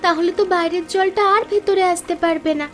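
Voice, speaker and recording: A woman voicing an eager, caring young village daughter in an animated story. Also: very high-pitched, fairly quick. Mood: eager, helpful. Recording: voice over background music.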